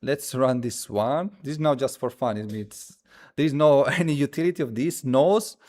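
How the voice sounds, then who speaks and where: A man who speaks as a hosts a casual live coding stream, thinking aloud haltingly and repeating his phrases as he demonstrates. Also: medium pitch, a little mellow. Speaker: livestream host.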